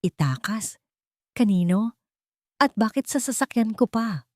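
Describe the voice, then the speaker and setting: A woman's voice: on the high side, animated, a little slow, darkish tone, no room sound, clean studio sound, voice pushed up. A woman with a Filipino accent voicing a stern, suspicious rich man in an audio drama. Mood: suspicious, angry. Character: stern, suspicious wealthy male master of the house.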